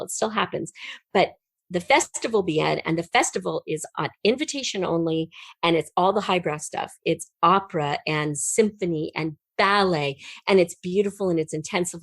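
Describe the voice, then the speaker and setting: A middle-aged woman speaking as an interview guest, telling stories conversationally in an animated, emphatic way. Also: fairly low pitch, no echo. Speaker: guest, writer and performer.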